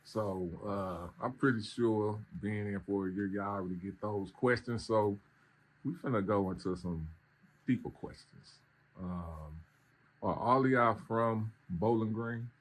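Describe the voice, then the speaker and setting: Low-voiced male interviewer, slangy and informal. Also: unhurried, low-quality recording. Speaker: interviewer, show host. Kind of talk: casual interview. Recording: noticeable background room noise.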